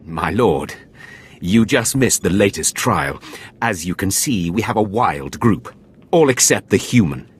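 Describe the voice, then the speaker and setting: An actor playing a callous Sith overseer in a game, husky, wry and deferential yet commanding. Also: male voice, low voice, sing-song, unhurried, a little hiss or hum. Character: callous, sardonic Sith overseer of slave trials.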